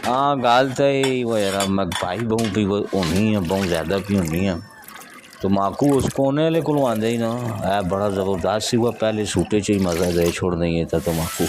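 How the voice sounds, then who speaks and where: Man acting easygoing villager, lowish voice. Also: moderate pace, background noise, close to an everyday voice. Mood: matter-of-fact. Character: easygoing practical village man, hookah-smoking friend. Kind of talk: amateur rural audio drama.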